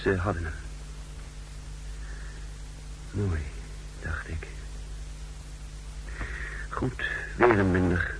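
An actor playing a cool, calculating secret agent who narrates his mission in a measured, introspective way in a 1960s radio drama. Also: low voice, poor audio. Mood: detached, uneasy. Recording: hissy 1960s radio recording.

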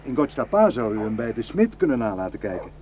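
A man's voice: mid-range voice, sing-song, fast, dark tone, slight background noise, lightly acted. An actor in a radio drama playing a protective, suspicious, hot-tempered village herdsman and husband, scornful and impulsive. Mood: easygoing, helpful.

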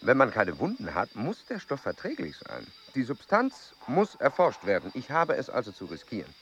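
A man's voice: medium pitch, wildly swooping pitch, moderate pace, a bit bright, highs cut off, a little hiss or hum. An actor voicing a fearless, courteous male explorer-scientist who speaks formally and earnestly, in a radio play.

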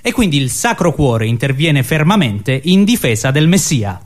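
A man's voice: fairly high pitch, very animated, normal speed. A man performing absurdist, mock-solemn scripted comedy in a theatrical, pedantic manner. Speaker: comic radio performer.

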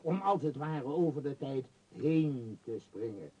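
A man's voice: medium pitch, expressive, measured pace, warm tone, slightly rough, highs cut off. An actor in a radio play voicing a vain, powerful middle-aged male tycoon with a pompous, emphatic, scornful manner. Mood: arrogant persuasion. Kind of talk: radio drama acting.

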